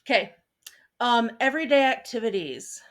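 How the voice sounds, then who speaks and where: A woman in her thirties, a teacher, explaining a lesson in a relaxed, chatty way, with frequent ums and small asides. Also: fairly high pitch, normal speed. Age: 30s. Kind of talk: recorded online lesson. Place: at home, seated at a desk.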